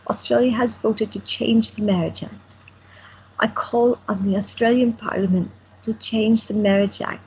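A woman plays an elderly woman speaking with heartfelt conviction, first to a crowd of reporters and then to the woman she loves. Her voice is very husky and breathy. The recording sounds dull and lo-fi. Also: mid-range voice, darkish tone. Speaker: cast member in an audio drama. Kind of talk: amateur audio drama acting.

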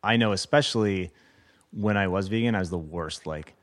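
A man with a fairly low voice talks as a guest in a loose podcast chat. He is informal and self-deprecating, and casually drops swear words. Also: moderate pace. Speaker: guest, butcher.

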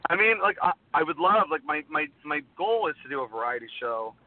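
A man in his thirties, a guest performer, chatting in a rambling way with a voice worn low from screaming. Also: sing-song, a little fast, no room sound. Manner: rambling, heavy use of "like". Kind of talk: casual interview chat. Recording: tinny phone-like line, faint noise.

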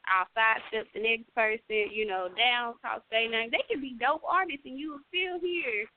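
A woman's voice: a little high, moderate pace. A woman hosting a radio talk show, chatting casually in slang and thinking aloud with lots of fillers. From United States. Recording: tinny phone-line sound.

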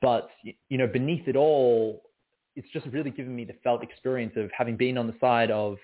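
A man's voice: mid-range voice, steady tone, fairly quick. A man, a guest poet answering interview questions in a reflective, searching way. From Australia. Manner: reflective, many fillers and restarts. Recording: phone-like narrow sound.

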